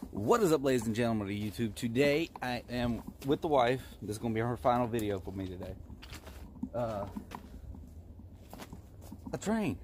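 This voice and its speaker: A man in his thirties filming a casual urban exploration vlog on a phone held at arm's length, talking in a relaxed, offhand way with fillers. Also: medium pitch, normal speed, pauses often. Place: abandoned train cars, indoors and outside. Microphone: phone camera held at arm's length.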